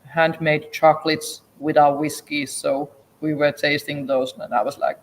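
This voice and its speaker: A woman's voice: low voice, level tone, normal speed, slight background noise, poor audio. A woman from Finland with a Finnish accent, chatting casually as a whisky expert at a tasting. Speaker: whisky distillery expert and guest. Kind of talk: casual tasting chat in English.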